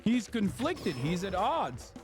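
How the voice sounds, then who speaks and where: Guy streaming, kinda high voice, crude and mocking, faint music behind. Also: huge pitch swings, takes it slowly. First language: Turkish. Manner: blunt, profane, mocking. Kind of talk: casual reaction commentary.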